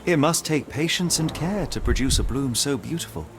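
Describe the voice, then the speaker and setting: A shrewd detective for a story game, speaking formally and precisely. His voice sits fairly high and swings expressively as he probes. Faint noise sits behind him. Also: animated, normal speed. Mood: probing. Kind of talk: scripted game dialogue.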